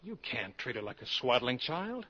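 Bright-voiced, insistent professor. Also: male voice, mid-range voice, very animated, breathy edge, exaggerated voice. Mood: persuasive. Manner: brisk, persuasive, assertive. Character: insistent, exasperated college professor in love, commanding. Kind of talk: 1940s radio drama acting. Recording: dull vintage 1940s radio recording.

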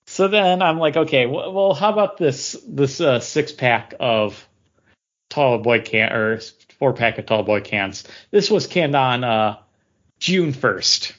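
A man's voice: mid-range voice, a little slow, muffled recording. A man co-hosting a podcast with casual banter, telling stories and acting out bits of dialogue. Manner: animated storytelling, acting out dialogue. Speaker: podcast co-host.

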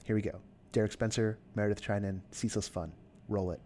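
A man's voice: lowish voice, steady tone, fast, over loud music. A man hosting a podcast in casual chat, rambling and self-deprecating with many restarts. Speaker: podcast host.

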